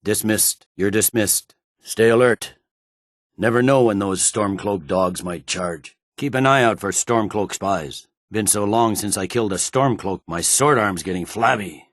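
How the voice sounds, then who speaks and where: An actor voicing a stern, battle-hardened Imperial general in a fantasy video game, with a curt and commanding manner. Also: male voice, lowish voice, a little slow, no room sound, clean studio sound, natural voice. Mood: gruff, clipped. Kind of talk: video game character dialogue.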